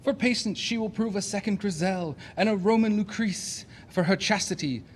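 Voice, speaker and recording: An actor playing a brash, boastful, domineering suitor in a classic comedy audio play, with declamatory theatrical delivery. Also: male voice, high voice, normal speed, a little hiss or hum, lightly acted. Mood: smug, declaiming.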